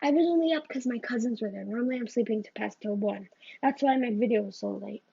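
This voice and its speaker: A woman's voice: fairly high pitch, quick pace, gravelly, warm tone, slightly rough. A woman vlogger ranting to the camera in a rambling way, often tacking on "right?" and "you know?", recorded on a phone. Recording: slightly muffled phone recording.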